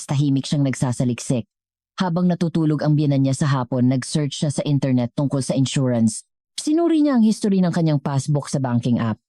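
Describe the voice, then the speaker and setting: A woman reading scripted dramatic story narration in a measured way, as the narrator of an audio drama. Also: low voice, mellow, no echo, studio quality.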